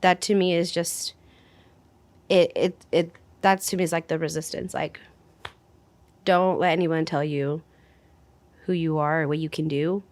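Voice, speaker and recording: A woman talks in an animated, chatty way. Her voice is slightly low and the sound is dry and close. It is a casual podcast discussion. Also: a little slow, pauses often, no echo.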